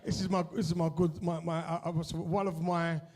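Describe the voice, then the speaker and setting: High-voiced East London stand-up comic. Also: male voice, flat delivery, brisk, darkish tone. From East London, England. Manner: chatty, swears freely, many repeated tags like "innit" and "right". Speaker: stand-up comedian. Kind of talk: stand-up comedy set with crowd work. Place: comedy club with a live audience.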